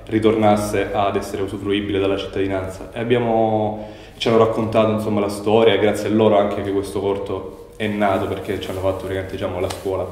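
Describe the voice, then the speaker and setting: A young man in his twenties speaks low and level, with little rise or fall in his voice. He answers casually but hesitantly, pausing on fillers and restarting phrases, and the bare room around him rings with heavy echo. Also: level tone, darkish tone. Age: 20s. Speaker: young filmmaker interviewee.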